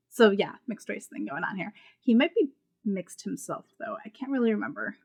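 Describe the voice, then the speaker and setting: A woman's voice: medium pitch, fairly bright tone. Woman giving a casual book review. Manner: informal, thinking aloud in loose, rambling sentences.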